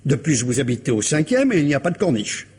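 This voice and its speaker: An actor playing a shrewd, insistent police commissioner who questions a suspect with courteous, insinuating pressure, in a French radio crime drama. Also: male voice, a little high, sing-song, fast talker, a little mellow, breathy edge, exaggerated voice. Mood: grave, accusing.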